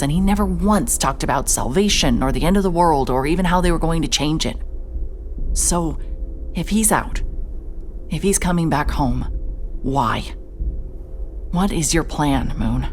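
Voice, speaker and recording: Hard-boiled female detective, very deep voice, terse, sardonic, weary noir audio drama narration. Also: slight background noise. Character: hard-hearted, sleep-deprived female private investigator, wry and cynical. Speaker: narrator and lead character.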